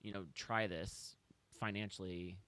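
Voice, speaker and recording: A man's voice: sits low, fairly even intonation, measured pace, sharp tone, no echo. A man from Kansas City, a podcast guest, telling his story in a chatty, wry way with self-deprecating jokes. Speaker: guest, real estate agent. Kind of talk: casual podcast chat.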